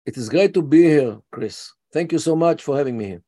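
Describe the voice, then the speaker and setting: A man's voice: a little high, expressive, fairly quick, dark tone. A man in his seventies with an Israeli accent, speaking as a guest scholar in an interview, hesitant with many fillers but emphatic. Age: 70s. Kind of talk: interview conversation.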